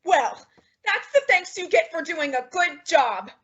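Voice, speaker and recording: A woman playing a dramatic, scheming housewife in an old-time radio sitcom, with exaggerated, drawn-out comic emphasis. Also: extremely high pitch, animated, a bit bright, full character voice. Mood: alarmed, indignant. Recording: dull lo-fi old radio recording.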